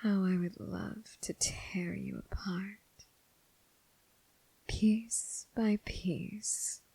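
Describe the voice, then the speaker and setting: A woman playing a seductive, menacing crossroads demon who toys with her prey, in a breathy, whispered ASMR roleplay with slow, teasing, formal phrasing. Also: mid-range voice, steady tone, mellow, no room sound, heavily acted. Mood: hungry menace.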